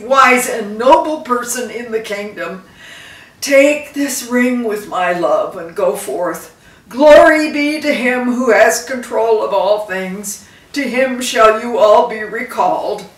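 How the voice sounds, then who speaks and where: A woman voicing a dying old king, a fond and solemn father in a storybook, speaking emphatically. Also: medium pitch. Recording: roomy echo, distant microphone.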